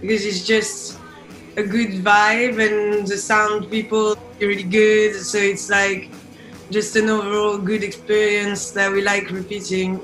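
A woman's voice: mid-range voice, level tone, unhurried, a bit bright. French-accented young woman, roomy sound. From France. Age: young adult. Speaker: band singer being interviewed. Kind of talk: casual video-call interview chat. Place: indoor room by window, video call. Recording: roomy echo, music behind.

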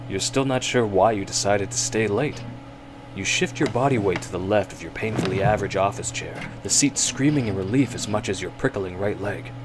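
A man narrating a suspense radio drama in the second person, with measured, hushed storytelling. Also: lowish voice, noisy background, close to an everyday voice.

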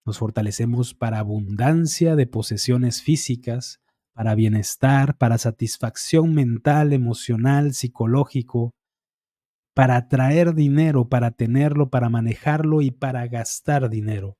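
Man, middle-aged, energy healer, calm measured recitation, warm mellow voice, close studio mic, dry sound. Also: mid-range voice, dry recording. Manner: calm, measured recitation of repeated affirmations in long lists; eyes often closed, fingertip to temple, concentrating. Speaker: energy healing practitioner. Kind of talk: guided affirmation session. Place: small dark room, indoors. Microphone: studio microphone on boom arm, close.

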